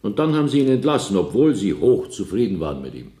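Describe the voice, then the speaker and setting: A presiding judge conducts questioning in a radio play. His voice is fairly low and echoes through the court. He is formal, stern and authoritative. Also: big pitch swings, fast, mellow. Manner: formal, interrogating. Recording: echoey 1970s radio drama studio.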